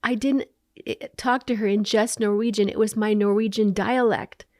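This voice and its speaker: A woman's voice: medium pitch, steady tone, normal speed, darkish tone. A middle-aged woman with a mid-range voice explains her work in an upbeat, step-by-step manner, reeling off examples as she goes. Her voice is captured close and dry, with no echo around it. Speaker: company founder and CEO, guest. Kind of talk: interview answers.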